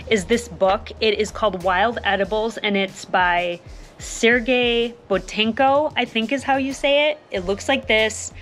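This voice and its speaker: Chatty woman vlogging from her porch. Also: medium pitch. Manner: enthusiastic, chatty, repeats words for emphasis. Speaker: homesteading vlogger. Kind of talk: casual vlog talk to camera. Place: covered back porch of a house, outdoors. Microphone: phone camera at arm's length.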